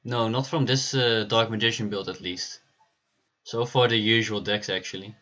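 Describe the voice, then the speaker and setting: A man hosting a livestream and commentating a card game match, relaxed and laughing easily, with frequent pauses. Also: lowish voice, dull audio. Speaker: stream host and caster.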